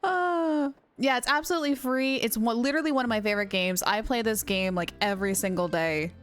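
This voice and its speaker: Female game streamer, fairly high voice, chatty and bubbly, light game music behind. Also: fairly quick. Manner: bubbly, giggly, chatty with viewers. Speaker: live streamer. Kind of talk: casual game stream chat.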